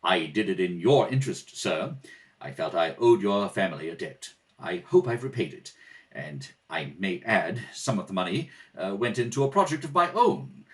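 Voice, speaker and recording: Picked up from a little way off, a low and very expressive male voice gives us a man acting a dignified gentleman butler. He is polite, earnest and formal, choosing his words with care, as in a homemade radio play. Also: distant mic. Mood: courteous, composed. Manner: polite, formal, measured, hesitant at times. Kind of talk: amateur radio play read-through. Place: at a kitchen table at home.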